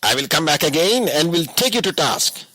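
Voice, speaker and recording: A man's voice: on the high side, very animated, normal speed, bright, forceful tone, no room sound, pitched above the performer's own voice, full character voice. A man voicing an angry, blustering boss in an audiobook story. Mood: empty threat.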